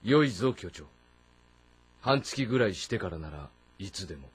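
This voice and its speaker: A husky, commanding ancient warlord. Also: male voice, low voice, sing-song, normal speed, heavily acted. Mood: quietly menacing. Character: shrewd, commanding middle-aged male warlord of ancient China. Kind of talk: Japanese historical drama game acting. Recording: music behind the voice. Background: background music.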